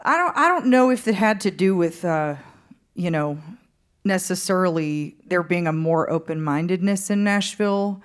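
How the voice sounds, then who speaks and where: A woman singer-songwriter from the Upper Midwest, answering interview questions on stage in a relaxed, hesitant way. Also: fairly low pitch, normal speed, clear, resonant tone. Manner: relaxed, hesitant, laughs easily. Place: stage in a concert hall.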